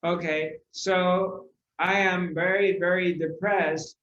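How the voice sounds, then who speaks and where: A man delivering a spiritual talk to a seated audience, speaking very slowly with a slightly raised pitch. He lingers on his phrases, repeats them and keeps posing rhetorical questions. The recording is roomy and a little dull, with the echo of the room around him. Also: little pitch movement, fairly bright tone. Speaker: spiritual teacher. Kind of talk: spiritual discourse to a live audience.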